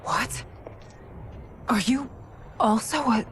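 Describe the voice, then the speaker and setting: An actress playing a regal warrior woman, earnest and wistful, often breathy, in a dramatic game cutscene. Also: on the high side, slow pace, a little hiss or hum. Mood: bewildered. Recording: music behind the voice.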